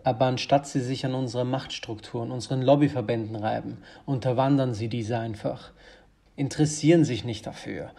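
A brusque, emphatic strategist acted by a man in an audio drama. The voice is dark and mellow at a medium pitch, with formal, forceful wording. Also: natural voice. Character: brusque, vehement male lobby strategist.

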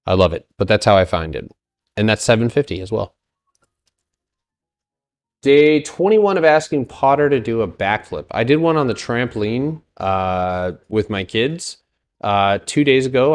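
A man of thirty with a somewhat low voice walks through stock charts on a live stream. He talks casually and loosely, full of fillers, breaking off to joke with his viewers. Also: lively intonation, normal speed. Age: 30s. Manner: loose, joking with chat, many fillers.

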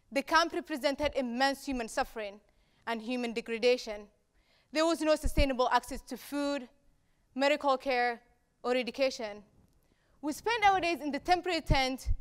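A young woman from Somalia delivers a prepared talk from a dark auditorium stage. Her voice sits high, and she leans on repeated phrases with measured pauses between them. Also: smooth. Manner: rhetorical repetition, deliberate pauses. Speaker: speaker at a campus talk event. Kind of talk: prepared public speech.

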